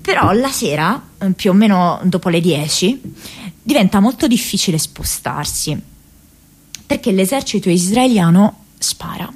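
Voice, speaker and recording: A woman's voice: medium pitch, expressive, a little slow, breathy edge, faint music behind. A woman playing an earnest, troubled young American activist in a radio theatre diary monologue, hesitant and searching for words. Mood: grave, weary.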